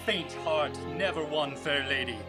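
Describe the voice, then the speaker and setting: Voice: mid-range voice, measured pace, close to an everyday voice. An actor playing a brave, overconfident male sea captain in a theatrical audio drama, declaiming heroically. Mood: bold, cheerful. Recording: prominent music behind voice.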